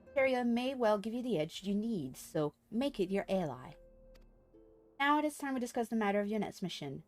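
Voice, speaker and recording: A woman's voice: mid-range voice, sing-song, a little fast, soft background music, lightly acted. A woman reading aloud as a composed, formal leader in a fantasy game, with courtly, earnest manner. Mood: earnest, instructive. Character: composed, formal, archaic-spoken order leader giving missions.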